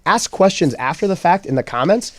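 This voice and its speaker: A man co-hosting a relaxed talk show, his voice sitting a bit high and swinging up and down as he jokes around. The sound is close and dry. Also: brisk, no echo. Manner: animated, jokey storyteller. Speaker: co-host. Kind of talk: casual chat.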